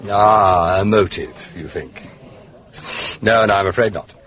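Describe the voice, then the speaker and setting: An actor playing an urbane, shrewd gentleman detective in a British radio drama, with an upper-class English accent and polished, drily understated manner. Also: deep voice, measured pace. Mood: dryly curious. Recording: muffled, dull radio drama recording.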